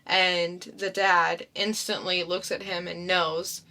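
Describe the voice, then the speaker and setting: A young woman co-hosting a casual podcast, calmly recapping a comic's story. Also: fairly low pitch, slow. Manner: laid-back storyteller recapping a plot. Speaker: podcast co-host.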